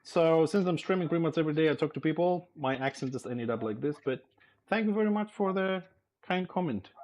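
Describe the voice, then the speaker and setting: A man's voice: on the high side, animated, fairly quick, darkish tone. Chatty Greek man with a slight lisp. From Greece. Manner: easygoing and chatty, laughs often. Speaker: live-streamer. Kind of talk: casual live-stream chat while cooking. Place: home kitchen.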